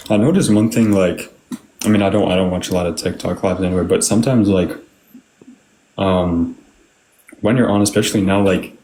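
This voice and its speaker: A man chatting casually as a stream co-host, relaxed and agreeable, filling his speech with "like". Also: deep voice, normal speed, long pauses, slight background noise, poor audio. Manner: relaxed, agreeable, heavy use of "like". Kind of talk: casual chat.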